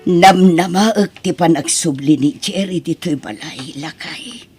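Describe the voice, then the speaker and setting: Woman acting a worried, husky Ilocano mother, slow-spoken. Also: lowish voice, darkish tone, soft background music. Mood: worried, pleading. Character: worried, devout Ilocano mother pleading over her wayward son. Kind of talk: melodramatic Ilocano radio-style drama acting.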